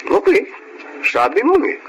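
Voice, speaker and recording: An eager, romantic young man in a radio drama, earnest and easily flustered. His fairly high voice swings widely, with clear background noise. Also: huge pitch swings, a little slow, full character voice. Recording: noisy ambience behind radio drama.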